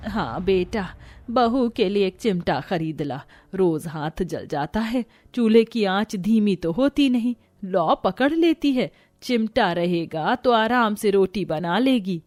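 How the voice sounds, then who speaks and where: A woman's voice: medium pitch, animated, slow pace, darkish tone, dry recording, soft background music. A woman voicing a caring, fretting old mother-in-law in a cartoon tale. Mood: concerned.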